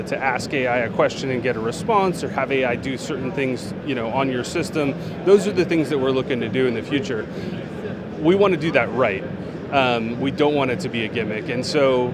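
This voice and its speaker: A man who works as a product manager, answering interview questions on a trade show floor in a relaxed way, with plenty of fillers and restarts. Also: mid-range voice, heavy background noise.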